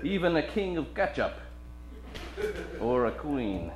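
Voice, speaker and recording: A man's voice: medium pitch, very animated, slow, roomy sound. A man playing a wisecracking, hard-drinking private detective with joking hard-boiled patter in a live comedic radio play. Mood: dry, mocking.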